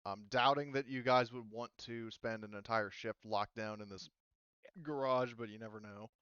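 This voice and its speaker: A man with a smooth, slightly low voice hosts a tabletop roleplaying game, improvising as he goes. He talks informally and swears mildly. The audio is dry and a little dull, like a close mic on voice chat. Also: fairly even intonation, normal speed, a bit bright, no room sound. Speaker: game master.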